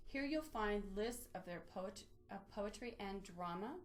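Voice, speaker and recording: A woman presenting an instructional software walkthrough, explaining methodically step by step in a lightly improvised way. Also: mid-range voice, animated, a little slow. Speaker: presenter of a library database tutorial.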